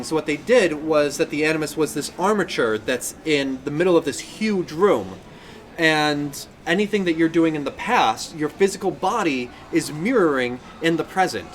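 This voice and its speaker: Male podcast co-host, slightly high voice, chatty and enthusiastic, casual discussion, some background noise. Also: fairly bright tone. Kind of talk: casual pop-culture discussion.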